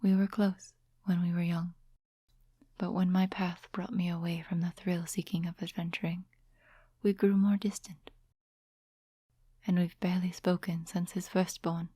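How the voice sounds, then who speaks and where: A woman playing a composed, courteous, quietly mysterious witch in a soft-spoken ASMR fantasy roleplay, with a whispery, breathy voice and gentle, formal, unhurried phrasing. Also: fairly low pitch, little pitch movement, no echo. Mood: wistful, reflective.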